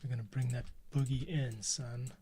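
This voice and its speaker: A podcast host thinking aloud and talking himself through editing an episode, often muttering quietly. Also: male voice, medium pitch, fairly even intonation, moderate pace, very noisy. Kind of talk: casual talk-through while editing audio.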